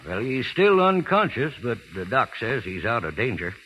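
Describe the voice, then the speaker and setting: An actor playing a good-natured, awkward cowhand with a folksy American accent in 1930s radio drama style. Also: male voice, medium pitch, big pitch swings, pushed and bright. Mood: earnest. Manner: folksy, halting. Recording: noisy, hissy 1930s radio recording.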